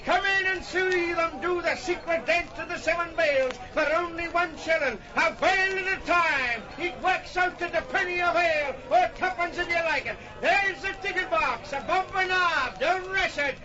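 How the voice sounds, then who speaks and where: An actor playing a loud carnival barker in old-time radio style, shouting drawn-out showman patter to sell a sideshow. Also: extremely high pitch, highs cut off. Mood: brash selling.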